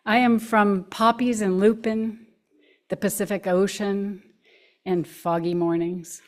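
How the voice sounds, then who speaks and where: Slow calm woman reading a poem. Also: medium pitch. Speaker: congregant reading her poem. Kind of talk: reading a personal poem aloud. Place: church sanctuary.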